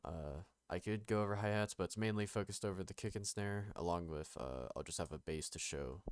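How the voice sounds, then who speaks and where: A young man with a nasal voice, recovering from being sick, talking casually through a music production tutorial with many pauses and fillers. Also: clean tone. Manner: halting, many ums and restarts, low-key. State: recovering from illness. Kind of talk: casual screen-recorded tutorial.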